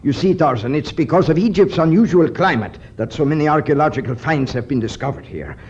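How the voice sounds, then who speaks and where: An earnest, middle-aged archaeologist and father with a raised, very lively voice. He sounds learned and easily excited, in 1950s radio adventure style. The recording is muffled and hissy. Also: a little high, brisk, breathy edge. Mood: curious, absorbed. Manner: scholarly, exclamatory, repeating words.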